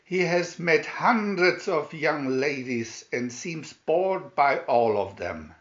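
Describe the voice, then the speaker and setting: A man voicing an excitable, grumbling old king with a blustering manner in a fairy tale. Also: a little high, takes it slowly, echoey room, lo-fi sound. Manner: blustering, growling.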